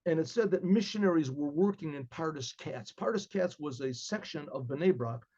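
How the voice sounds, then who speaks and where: An older man with an American accent telling a story in a casual class, rambling, repeating words and clearing his throat often, on a webcam in a study lined with books. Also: a little high, lively intonation, normal speed, a little mellow, muffled recording. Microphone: webcam at desk.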